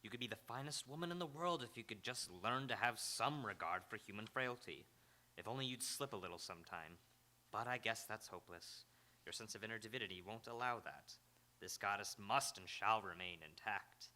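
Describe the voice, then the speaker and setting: A man's voice: on the low side, a little fast, bright, forceful tone. A man playing a suave, needling ex-husband in live radio theatre, dry and taunting with firm emphasis. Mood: pointed, earnest. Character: sardonic, needling, suave ex-husband, reformed drinker. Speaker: stage radio actor. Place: live on an auditorium stage.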